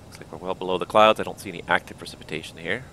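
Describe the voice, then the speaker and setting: A deep-voiced man talks casually through a flight, reading out checklists and thinking aloud as he goes. His voice is wearing thin, and a steady engine rumble runs under him. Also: racing, low-quality recording. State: losing his voice. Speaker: flight simulator pilot. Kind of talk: casual cockpit commentary.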